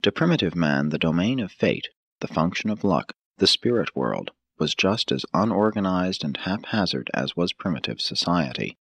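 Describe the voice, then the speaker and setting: A man narrating an audiobook from a script in a measured, formal, solemn manner. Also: low-pitched, very animated, fairly bright tone, slightly rough.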